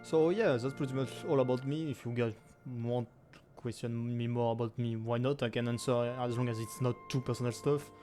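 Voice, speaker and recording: Young man, strong french accent, mellow dark tone, rambling casual chat, faint music behind. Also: mid-range voice, fairly even intonation, a little fast. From France. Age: 20s. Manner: rambling, many fillers and self-corrections, frequent laughs. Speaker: gaming YouTuber. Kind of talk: casual anniversary chat to camera.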